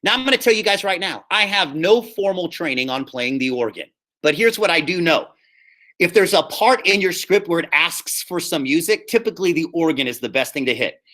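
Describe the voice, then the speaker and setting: A man speaking as a high school drama teacher, giving upbeat, informal instructions to students and often checking that they follow. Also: animated, brisk, pushed and bright. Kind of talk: casual instructional talk to students in an online class.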